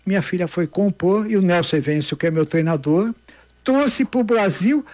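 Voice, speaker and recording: An older Brazilian man, a marathon runner, telling animated anecdotes in a casual chat, often restarting his sentences. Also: high voice, moderate pace, no echo. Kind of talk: casual storytelling.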